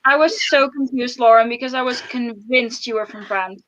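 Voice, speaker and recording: A woman with a Dutch accent and a clearly high voice chats informally with friends. She is emphatic and animated as she tells things, in the easy style of a book channel co-host. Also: moderate pace, dull audio. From Netherlands. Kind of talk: casual group chat.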